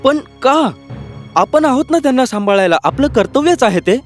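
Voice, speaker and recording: High-voiced earnest young prince. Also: male voice, lively intonation, normal speed, faint music behind. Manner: earnest, polite. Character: good-natured young prince, dutiful and eager younger brother. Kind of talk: animated fairy tale voice acting.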